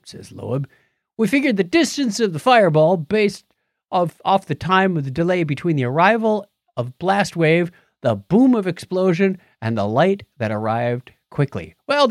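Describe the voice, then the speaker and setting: Lively, wry man co-hosting a podcast. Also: fairly high pitch, no room sound, studio quality. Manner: wry, joking. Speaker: podcast co-host reading odd news stories. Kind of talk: casual chat and reading news articles aloud.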